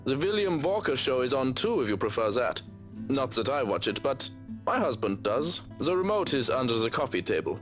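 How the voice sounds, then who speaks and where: A man voicing an easygoing household cook in a visual novel, casual and expressive. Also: medium pitch, moderate pace, soft background music, close to an everyday voice. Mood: offhand, teasing. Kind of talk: visual novel game voice acting.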